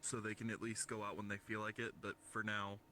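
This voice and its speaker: Bright-toned man on noisy voice chat. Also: on the low side, monotone, fairly quick. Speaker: roleplay participant. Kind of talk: improvised in-character roleplay chat. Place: online voice chat in a virtual world. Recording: faintly noisy online voice chat.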